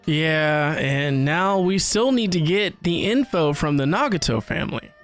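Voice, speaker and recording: A man's voice: a little high, big pitch swings, a little slow, music in the background. A man giving casual reaction commentary as a gaming streamer.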